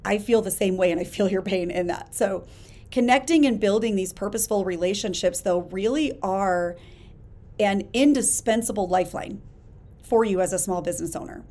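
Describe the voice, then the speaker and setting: A woman hosting a solo podcast, talking in an energetic, emphatic, conversational way with a hoarse voice while she gets over a chest illness. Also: mid-range voice, moderate pace. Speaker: podcast host. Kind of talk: casual solo podcast talk.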